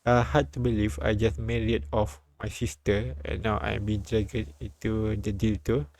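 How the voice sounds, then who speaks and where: A man streaming a live read-aloud of a visual novel, halting and repeating words as he goes. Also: on the low side, level tone, darkish tone.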